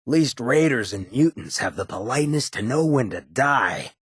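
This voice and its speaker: An actor voicing a sardonic, streetwise ghoul town boss for a video game, with a gravelly rasp and a sly, slangy delivery. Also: male voice, mid-range voice, animated, normal speed, dry recording, studio quality. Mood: sardonic musing. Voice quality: gravelly, raspy. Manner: sly, laid-back, slangy. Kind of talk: video game companion voice lines.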